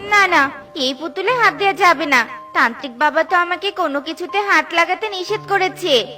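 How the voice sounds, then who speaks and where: A woman voicing a dutiful, curious young female bird in a children's cartoon, expressive and earnest. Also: very high voice, normal speed, faint music behind. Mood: worried.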